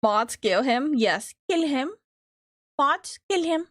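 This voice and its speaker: A woman's voice: high-pitched, expressive, takes it slowly, a bit bright, no echo. Woman streamer from Minnesota, playful and profane, close dry mic. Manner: playful, teasing, swears freely. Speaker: streamer co-host. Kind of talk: casual stream banter.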